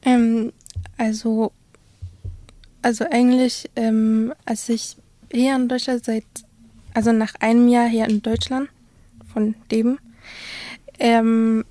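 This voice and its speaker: A woman's voice: fairly high pitch, level tone, slow pace, long pauses, mellow, dry recording. A young woman whose first language is Arabic, telling her own story in a discussion with frequent hesitations. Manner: hesitant, many fillers and restarts. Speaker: guest sharing her experience. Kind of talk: personal account in a discussion.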